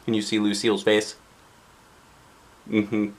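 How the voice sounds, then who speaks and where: A man reading a children's book aloud as a dad, with playful, expressive, theatrical delivery. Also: on the low side, unhurried, low-quality recording. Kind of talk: animated children's storybook narration.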